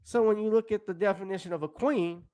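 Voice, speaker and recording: A man's voice: high-pitched, fast, warm tone, smooth, no echo. A middle-aged man hosting a casual livestream chat, talking in a conversational, opinionated way. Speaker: talk show host.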